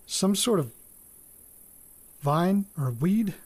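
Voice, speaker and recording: A man's voice: sits high, expressive, drawn-out words, darkish tone, husky, full character voice. An actor playing a hesitant farm-raised man in an audio drama, murmuring and thinking aloud as if into a recorder. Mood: puzzled, awed.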